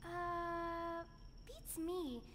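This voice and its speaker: A woman's voice: very high-pitched, flat delivery, extremely slow, exaggerated voice. An actress playing an eager, grumbly young woman tech sidekick in a video game. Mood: puzzled. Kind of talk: English video game voice acting. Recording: long reverb, faint ambient noise.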